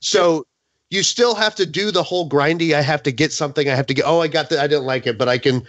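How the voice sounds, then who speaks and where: A man's voice: fairly high pitch, quick pace, fairly bright tone, no room sound, highs cut off. A man in a casual gaming podcast discussion, speaking in an emphatic, opinionated and hyperbolic way. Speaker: podcast panelist.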